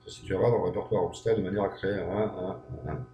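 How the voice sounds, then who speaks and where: A man's voice: deep voice, fairly even intonation, fast talker, mellow. A man giving an unscripted screencast lesson, thinking aloud with many hesitations and frequent checks that the listener is following. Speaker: programming tutor. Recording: echoey room with faint hum.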